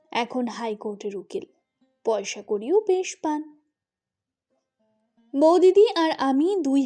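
High-voiced, unhurried woman narrator. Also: a little mellow. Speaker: audiobook narrator. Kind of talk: expressive scripted literary story narration. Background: music.

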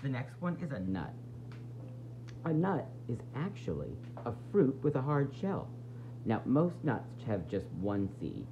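Very low-voiced woman teacher, lively read-aloud. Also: unhurried, muffled recording, slight background noise. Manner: expressive, explanatory, questioning the listeners. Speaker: teacher reading to young pupils. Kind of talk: read-aloud of a children's nonfiction book with explanations.

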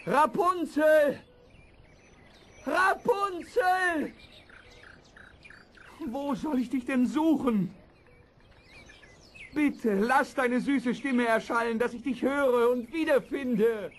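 An actor playing a lovesick young prince in a fairy-tale audio drama, calling out with drawn-out, pleading words. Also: male voice, very high-pitched, heavily acted. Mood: desperate, pleading. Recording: faint background noise with birdsong.

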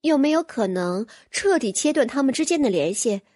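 A woman's voice: high-pitched, lively intonation, normal speed, a little mellow, no room sound, studio quality. A woman voicing an earnest, curious young fantasy heroine in an audiobook, inquisitive and decisive. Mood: concerned. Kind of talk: audiobook character voice.

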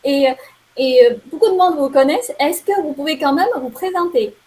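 A woman's voice: high-pitched, expressive, normal speed, darkish tone. A young woman hosting an interview over a video call, asking her questions hesitantly with many fillers. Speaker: host. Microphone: video call webcam.

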